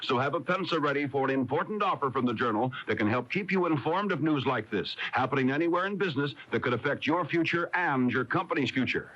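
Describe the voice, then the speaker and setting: A man's voice: medium pitch, animated, fast talker, hardly any pauses, a bit bright, lo-fi sound. A man with an American accent reading a scripted radio advertisement as an announcer, on a 1980s broadcast recording. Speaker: commercial announcer.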